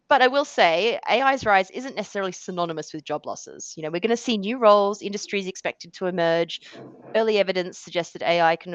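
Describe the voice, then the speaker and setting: A lowish-voiced Australian woman in her forties talks through a webinar in a chatty, self-deprecating way, with lots of "um" and "you know". The sound is dull and lo-fi, with a dry, close feel. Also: dry recording. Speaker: guest speaker. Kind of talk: webinar presentation.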